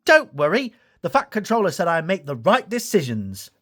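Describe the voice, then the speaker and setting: A man's voice: high-pitched, huge pitch swings, normal speed, a bit bright, pitched above the performer's own voice, full character voice. A man voicing an eager, brave young steam engine in a children's storybook, with an earnest, exclamatory manner. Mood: confident.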